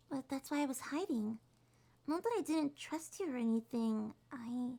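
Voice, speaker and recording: A woman voicing a shy, sweet young succubus monster girl in a soft, breathy, hesitant ASMR roleplay. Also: sits high, exaggerated voice. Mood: nervous, explaining. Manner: hesitant, gentle, slightly stammering.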